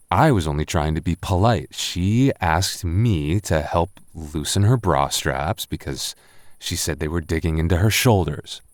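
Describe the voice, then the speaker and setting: A man's voice: low voice, darkish tone, no echo, studio quality. A young man acting a tipsy, flustered roommate hiding a crush in an intimate boyfriend roleplay, stammering and defensive in a conversational way.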